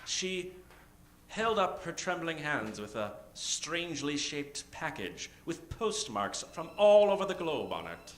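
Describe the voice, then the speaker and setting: A man's voice: fairly high pitch, big pitch swings, measured pace. A man narrating an improvised parody of old-time radio drama in a theatrical, melodramatic way. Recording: roomy live stage sound.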